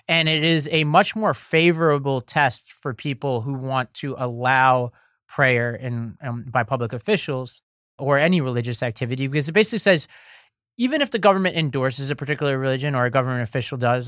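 A man's voice: medium pitch, fairly bright tone, no echo, studio quality. A man co-hosting a podcast, explaining court rulings in casual talk with many "like"s and restarts. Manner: explanatory, filler-heavy, restarts. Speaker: podcast co-host. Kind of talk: casual legal news discussion.

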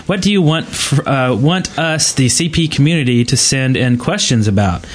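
A man's voice: medium pitch, expressive, moderate pace. A man hosting a podcast, chatting casually and reading out listener questions.

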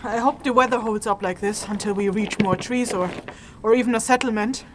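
A woman playing a tough, practical, impatient leader of a fantasy trek in an audio drama, speaking in a brisk, bossy, dry manner. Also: medium pitch, steady tone, noisy background, close to an everyday voice. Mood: matter-of-fact.

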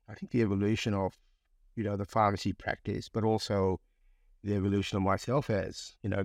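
Gravelly low-voiced man from Fiji. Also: dry recording. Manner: relaxed, reflective, many "you know" fillers. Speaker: guest pharmacist. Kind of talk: conversational interview.

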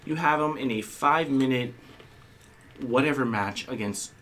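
A man's voice: mid-range voice, normal speed, fairly bright tone. Man, podcast co-host, casual banter, playful, throat tickle, faint background hiss. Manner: playful, boastful self-introductions. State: slight tickle in the throat, clearing it.

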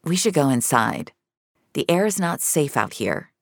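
A woman acts out a stubborn woman on the run in audio fiction. The voice is deep and gravelly, close and dry. Lines are terse and sardonic. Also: sing-song, a little slow, no echo, full character voice. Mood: concerned.

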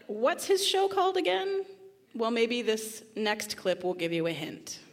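A woman's voice: a little high, big pitch swings, unhurried. A woman presenting and interviewing as host of a live radio stage show. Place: large hall before a live audience. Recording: reverberant live stage hall.

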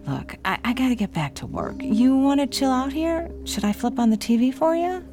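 An actress playing a caring best friend, familiar and gently blunt, in a naturalistic audio drama. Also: female voice, high-pitched, lively intonation, normal speed, music in the background. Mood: casual. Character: frank, caring woman friend and confidant.

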